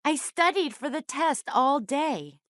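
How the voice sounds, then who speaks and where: A woman voicing a friendly young woman in a scripted, slowly spoken English lesson conversation. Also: high-pitched, lively intonation, a bit bright, no room sound, studio quality, exaggerated voice. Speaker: voice actor in English lesson dialogues. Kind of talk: scripted slow learner dialogue.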